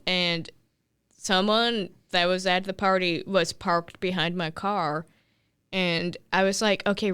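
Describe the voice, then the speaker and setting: Young woman, twenty, podcast co-host, casual storyteller, slow talker, bright voice. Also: lowish voice, smooth. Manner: unhurried, chatty storyteller with many fillers.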